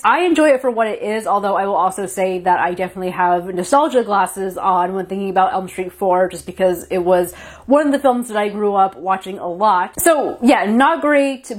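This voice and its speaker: Stuffy-voiced woman reviewing horror films. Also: medium pitch. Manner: opinionated, animated, explains with "like" and "right". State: stuffy from a cold. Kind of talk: casual review vlog.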